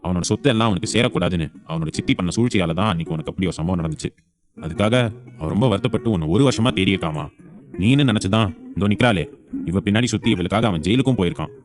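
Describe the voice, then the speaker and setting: A man's voice: low voice, rapid-fire, close to an everyday voice. A man voicing a stern, protective grandfather in an audio drama, emphatic and authoritative. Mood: firm, reassuring. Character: stern, protective grandfather, indignant family elder. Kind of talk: audio serial drama acting.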